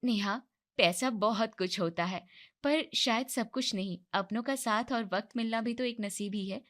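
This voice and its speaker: A woman voicing a gentle, polite young daughter-in-law who reasons calmly in a cartoon moral story. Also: on the high side, normal speed, natural voice.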